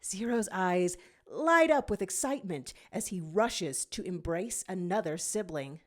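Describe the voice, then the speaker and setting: A woman narrating a scripted fan fiction story in an expressive reading style. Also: on the low side, measured pace.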